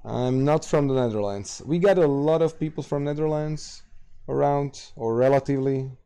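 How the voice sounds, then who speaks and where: A man from Slovakia with a Slovak accent, hosting a late-night gaming livestream and answering chat questions in a halting, casual way full of fillers. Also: mid-range voice, mellow, smooth. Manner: halting, many fillers and restarts, reads out chat. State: tired, streaming late at night.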